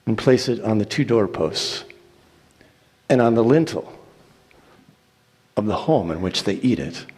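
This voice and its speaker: A man giving a solemn, declamatory dramatic reading of scripture in deliberate phrases. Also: on the low side, expressive, a little mellow, slightly rough. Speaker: church reader reciting scripture. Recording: echoey hall with long reverb.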